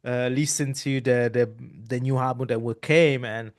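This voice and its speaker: A man's voice: mid-range voice, fairly even intonation, moderate pace. A man, hosting a casual interview, talking in long rambling runs full of restarts. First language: Italian. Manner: rambling, with many restarts and repeated words. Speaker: podcast host.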